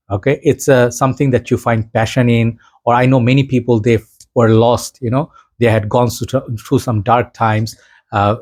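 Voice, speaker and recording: A man with a Bangladeshi accent speaks in an average-pitched voice. He chats as a hobby reviewer would on his own channel, informal and friendly in how he addresses viewers. The delivery rambles along like unscripted conversation. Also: darkish tone. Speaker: fragrance reviewer and seller. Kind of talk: casual vlog chat to viewers.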